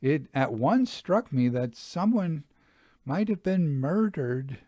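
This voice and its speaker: A man's voice: fairly high pitch, animated, takes it slowly, mellow, no room sound, clean studio sound. A man voicing a nervous farmer's wife in a storybook reading, earnest and emphatic as she tells of her haunted house. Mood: confiding.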